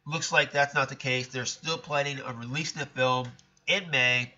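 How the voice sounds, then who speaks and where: A man talks through his thoughts in a loose, rambling way, often stopping to correct himself. His voice is bright and forceful, and a cold leaves it stuffed up and nasal. The sound is dull and lo-fi. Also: mid-range voice, steady tone, moderate pace. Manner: chatty, rambling, self-correcting. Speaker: podcast host. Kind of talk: casual solo commentary.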